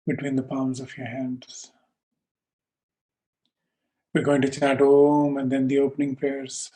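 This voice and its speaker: Calm male meditation teacher, even pitch. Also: rough recording. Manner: calm, measured, short phrases with pauses. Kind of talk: slow guided meditation instruction.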